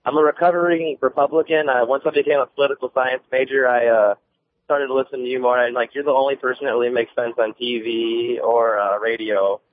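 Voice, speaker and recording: A man calling in to a radio show, talking quickly and rambling through his question with lots of fillers. His voice comes over a thin phone line. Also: medium pitch, fairly even intonation, dry recording. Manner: rambling, full of filler words. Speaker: caller.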